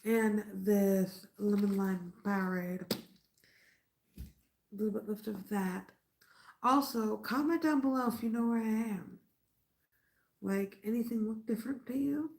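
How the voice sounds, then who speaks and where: Stuffy woman with a creaky voice. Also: medium pitch, takes it slowly, pauses often, a little mellow. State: stuffy nose from a mild cold. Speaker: crochet channel host. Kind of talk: casual late-night livestream chat.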